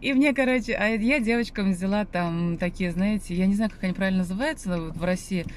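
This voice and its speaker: A woman's voice: medium pitch, fast talker. A woman in her forties from Russia chatting casually to her viewers from her car, rambling with many fillers and restarts. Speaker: vlogger. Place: inside a parked car, and walking outdoors. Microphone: phone camera on the dashboard. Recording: loud car and street noise.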